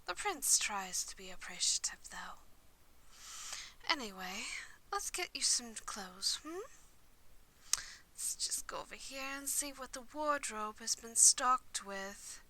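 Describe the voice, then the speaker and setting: A woman playing an excitable, devoted vampire lady's maid in a roleplay audio drama, speaking formally and chattily to the listener. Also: fairly high pitch, takes it slowly, full character voice, rough recording. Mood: attentive, helpful. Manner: polite, formal, eager to please, chatty.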